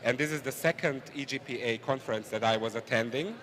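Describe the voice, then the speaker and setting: A man who grew up in Switzerland and works as a police officer answers interview questions calmly and plainly. His voice is mid-pitched, and it carries a long reverb tail. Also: fast. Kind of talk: interview answers, conference delegate.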